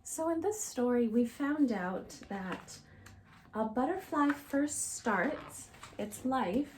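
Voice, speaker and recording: High-pitched, lively woman reading to children. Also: slight background noise, low-quality recording. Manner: deliberate, instructive, addressing young children. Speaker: teacher reading to young children. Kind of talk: expressive picture-book read-aloud and simple lesson for children. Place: small living room, indoors.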